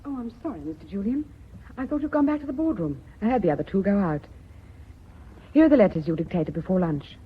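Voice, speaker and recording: An actress playing an attentive, concerned secretary in a radio play, polite and eager. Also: female voice, medium pitch, big pitch swings, fast, slightly rough. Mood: concerned, apologetic. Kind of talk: British radio play acting. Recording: tinny, phone-like radio recording.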